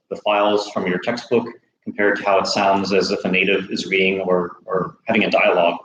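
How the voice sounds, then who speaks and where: An American man hosting a casual livestream Q&A, relaxed and chatty, laughing easily. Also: deep voice, roomy sound, lo-fi sound, slight background noise. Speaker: host.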